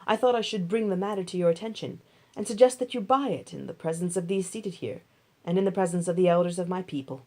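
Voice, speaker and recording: A woman's voice: lowish voice, fast, warm tone. A woman voicing an earnest, decisive male landowner in a Bible storybook, speaking formally and declaratively. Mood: businesslike.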